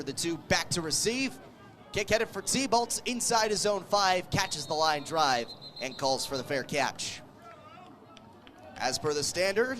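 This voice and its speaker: A man doing live play-by-play radio commentary of a football game, calling the action with excited energy. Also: high-pitched. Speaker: play-by-play sports radio announcer.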